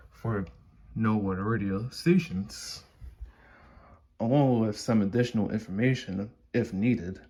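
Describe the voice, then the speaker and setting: A man with a lowish, slightly husky voice talks casually through a showcase. He explains things slowly and haltingly, meandering from point to point as he films indoors on a phone. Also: a little mellow. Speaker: hobbyist video creator. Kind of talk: casual unscripted showcase narration. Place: indoors at home beside a shelf of radios. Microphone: handheld phone behind the camera.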